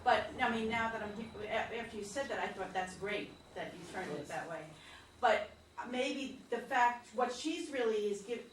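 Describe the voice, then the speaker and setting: A woman's voice: mid-range voice, a little hiss or hum. A woman in a group discussion after a play reading, thinking aloud and tentatively offering her view. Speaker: audience member giving feedback. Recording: echoey room, from far off.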